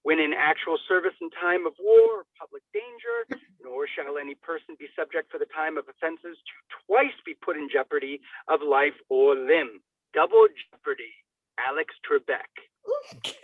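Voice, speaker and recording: Phone-like narrow audio, dry, male reader, high voice, theatrical declamation, formal document reading. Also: expressive, moderate pace, no room sound. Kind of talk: dramatic reading of a legal document. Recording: thin phone-like radio sound.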